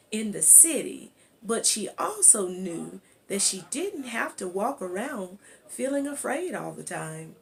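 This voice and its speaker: A woman reading a children's chapter book aloud as an amateur narrator, in a deliberate manner. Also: medium pitch. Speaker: amateur audiobook reader.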